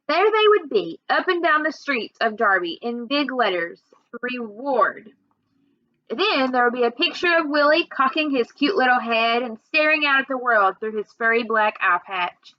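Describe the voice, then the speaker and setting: A woman reading a children's novel aloud in an expressive storytelling way. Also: sits high, normal speed, hardly any pauses, dry recording, highs cut off. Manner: expressive, acts out dialogue. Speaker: reader.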